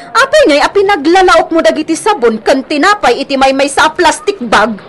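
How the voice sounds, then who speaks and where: A woman playing a bossy, quick-tempered grocery owner, scolding and nagging with an Ilocano accent in a radio drama. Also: high voice, lively intonation, a bit bright, a little hiss or hum. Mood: stern scolding.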